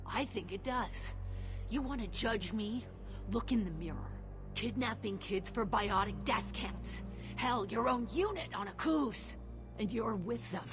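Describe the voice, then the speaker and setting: A woman's voice: on the high side, lively intonation, unhurried. A woman playing an indignant, combative agent in a sci-fi video game, accusing and emphatic. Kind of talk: video game cutscene acting. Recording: music behind the voice.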